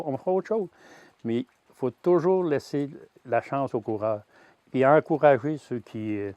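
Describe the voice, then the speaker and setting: A man in his sixties with a Québécois French accent, a farmer giving a plain personal testimony, pausing often with fillers and restarts. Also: mid-range voice, darkish tone, dry recording. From Quebec. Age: 60s. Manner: hesitant, many "euh" fillers and restarts. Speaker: grain-fed veal farmer.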